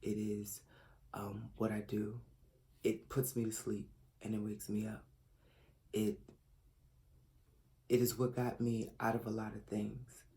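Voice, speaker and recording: A man in his late twenties from Philadelphia makes an earnest audition pitch as a fashion designer. His voice is low and mellow and stays quite flat. He hesitates often, stumbling through fillers and restarts like a shy, reserved speaker. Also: slow, long pauses, a little hiss or hum. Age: late 20s. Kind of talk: self-recorded audition pitch.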